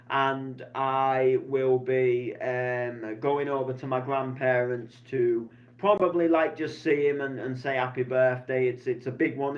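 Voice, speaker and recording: A man, rambling casually through a weekend vlog with plenty of fillers and restarts. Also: medium pitch. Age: 20s. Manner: chatty, meandering, many fillers and restarts. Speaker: DJ vlogger. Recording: slightly dull lo-fi sound.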